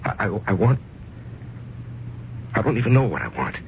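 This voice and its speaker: A man's voice: fairly low pitch, sing-song, normal speed, vocal fry. An actor playing a troubled middle-aged businessman in a 1970s radio drama, stammering and sometimes dropping to a whisper. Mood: uneasy. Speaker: company head. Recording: dull, hissy old radio recording.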